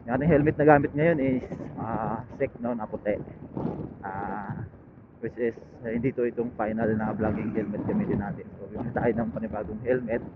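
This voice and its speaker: A man's voice: on the low side, fairly even intonation, normal speed. Filipino man vlogging over phone-like helmet mic. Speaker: motorcycle vlogger. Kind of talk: casual unscripted ride chat to viewers. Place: outdoors, riding a motorcycle. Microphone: helmet intercom headset linked to action camera. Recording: phone-like helmet intercom mic, loud engine noise. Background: loud motorcycle engine and road noise.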